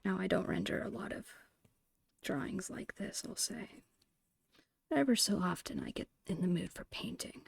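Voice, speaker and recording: A woman's voice: medium pitch, animated, normal speed, dry recording, clean studio sound. A woman whispering in an ASMR style, casually thinking aloud in short phrases as she narrates her own digital painting. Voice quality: breathy whisper.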